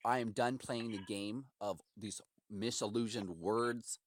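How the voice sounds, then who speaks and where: A man's voice: lowish voice, fairly even intonation, measured pace, no room sound, studio quality. A man arguing in an impassioned, rambling way as a caller, sounding aggrieved and preachy, with heavy emphasis. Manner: emphatic, aggrieved, preachy, acts out imagined quotes. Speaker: caller to customer service. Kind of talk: impassioned rambling argument on a phone call.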